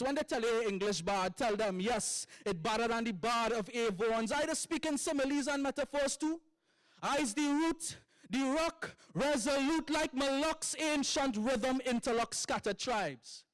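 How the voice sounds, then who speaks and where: A man's voice: very high voice, moderate pace, no echo, low-quality recording. A young man with a Trinidadian accent performing a spoken word poem with rhythmic, emphatic delivery. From Trinidad and Tobago. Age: young adult. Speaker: poet.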